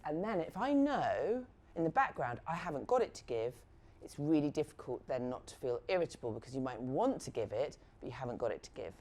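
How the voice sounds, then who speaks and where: Lively, frank woman with a low, mellow voice. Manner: lively, frank, acts out example dialogues. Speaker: workshop speaker on negotiation. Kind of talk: live workshop talk to assistants.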